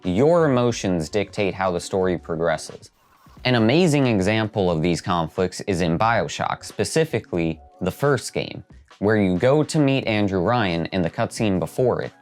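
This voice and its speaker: A man's voice: on the low side, a little mellow. A man hosting a video essay, reading scripted narration. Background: background music.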